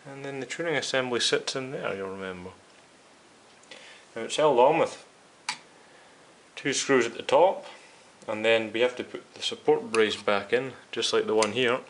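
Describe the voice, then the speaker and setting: A man's voice: mid-range voice, moderate pace, a bit bright, slight background noise. A man hosting a hands-on repair video, talking casually and explaining each step directly to his viewers. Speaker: host of a radio restoration series.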